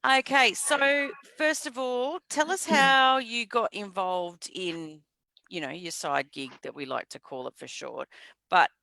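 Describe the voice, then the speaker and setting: A woman's voice: medium pitch, unhurried, creaky, pushed and bright, poor audio. A woman hosting a live online interview, introducing her guest and asking questions in an upbeat, encouraging way. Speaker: host, interviewer.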